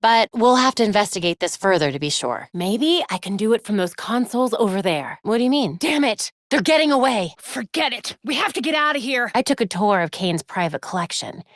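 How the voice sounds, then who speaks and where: A woman playing a sharp, determined young American vigilante heroine in a video game, with a wry, quippy delivery. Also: fairly high pitch, animated, fairly quick, few pauses, no room sound, studio quality, natural voice. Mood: urgent. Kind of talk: acted video game voice lines.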